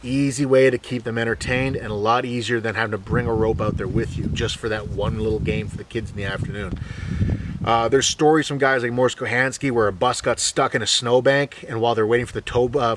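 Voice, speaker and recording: A man giving a relaxed, joking bushcraft lesson, explaining each step in plain words as he demonstrates. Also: medium pitch, recorded from a distance, a little hiss or hum. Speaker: bushcraft instructor. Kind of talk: hands-on outdoor skills demonstration.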